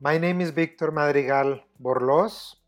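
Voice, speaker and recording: A man in his fifties from Costa Rica, answering interview questions in a measured, deliberate way with frequent pauses. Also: fairly high pitch, faint music behind. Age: 50s. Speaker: guest, UN human rights expert.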